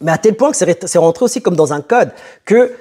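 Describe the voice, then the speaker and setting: A young man who grew up in France telling a personal story to camera in a conversational, emphatic way with dramatic pauses. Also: high voice, animated, dark tone. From born in Italy, moved to France as a child. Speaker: motivational video host.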